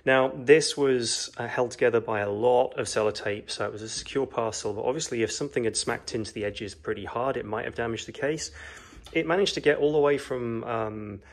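A man's voice: medium pitch, a little fast. A man giving a casual, rambling product review at home, with frequent ums and uhs, filmed on a phone. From New Zealand. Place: home gaming room, indoors. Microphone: phone camera, off screen.

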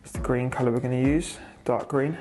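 Flat-voiced young man giving a vlog update. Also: medium pitch, a little fast, over loud music. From England. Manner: casual, matter-of-fact, lots of "so" and "obviously". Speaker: restaurant owner. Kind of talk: vlog update on a restaurant renovation. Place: restaurant under renovation, indoors.

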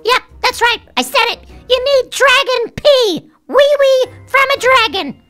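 An actor voicing a boastful, touchy boy bully with a squeaky, girlish voice in a children's comedy video. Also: sing-song, moderate pace, pushed and bright, faint music behind, full character voice. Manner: defiant, boastful, childish tough talk.

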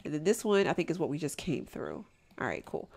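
A woman's voice: sits low, sing-song, fairly quick, creaky, a little mellow. Chatty woman with a stuffy nose. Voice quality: nasal from allergies. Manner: chatty, addresses viewers as y'all, laughs often. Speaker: gameplay commentator. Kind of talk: casual let's play commentary.

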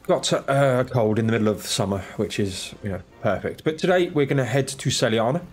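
A man's voice: mid-range voice, expressive, brisk. A man hosting a casual let's-play, congested from a cold, talking in a laid-back, muttering, self-deprecating way into a desk microphone. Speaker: gaming channel host. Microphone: desk microphone, off camera.

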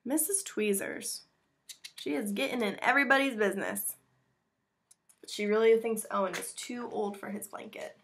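An American woman with a medium-pitched voice reads a children's story aloud. She is expressive and pauses for chatty, simple explanations, like a teacher with a young class. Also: slight background noise. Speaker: teacher reading a picture book to her class. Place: small room at home with bookshelves.

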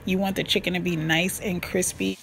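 A woman's voice: fairly low pitch, little pitch movement, brisk, vocal fry, fairly bright tone. A woman hosting her cooking channel, talking viewers through a recipe in a chatty, upbeat voice-over. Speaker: cooking blogger and channel host. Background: music.

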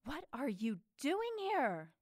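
A sardonic, weary woman in a sci-fi audio drama, acted by a woman. Her middle-pitched voice is expressive and closely recorded, with a guarded edge of sarcasm. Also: slow, dry recording, studio quality, heavily acted. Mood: irritated. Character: guarded, sardonic working woman with cybernetic arm.

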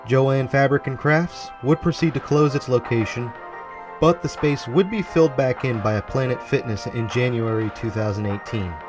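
A man delivering scripted, documentary-style narration for a walkthrough video. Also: medium pitch. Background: background music.

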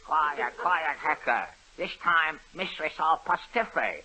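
A man's voice: a little high, lively intonation, normal speed, sharp tone, husky, exaggerated voice. A man doing a pompous bumbling detective in clipped broken speech. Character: bumbling male pidgin-talking detective Longpan. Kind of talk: 1930s radio comedy sketch. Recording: old noisy radio broadcast.

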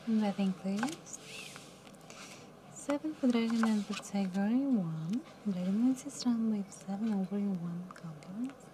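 A young woman dealing cards at a live casino table, calling results in a soft, mumbly voice that sinks toward a whisper. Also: medium pitch, measured pace, noisy background. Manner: soft, mumbly, drops to near whisper at round ends. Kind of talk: calling card game rounds.

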